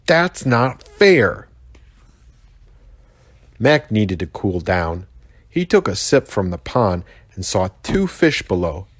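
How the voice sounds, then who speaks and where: American male storybook narrator, pastor type: highly animated, slightly low pitch, unhurried, expressive. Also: husky, dry recording, clean studio sound. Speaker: pastor reading to children.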